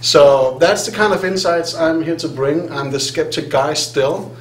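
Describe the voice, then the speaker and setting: A middle-aged man from Denmark with a Danish accent, speaking on a hypnotherapy conference panel in an animated, self-deprecating way, laughing often. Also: a little high, measured pace. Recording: roomy hall sound, faint hum.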